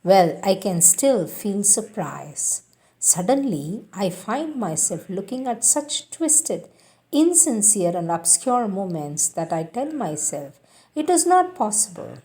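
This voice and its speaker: A woman reading spiritual teachings aloud in a deliberate, emphatic, devotional way. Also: medium pitch, very animated, dark tone. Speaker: reader of spiritual texts. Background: music.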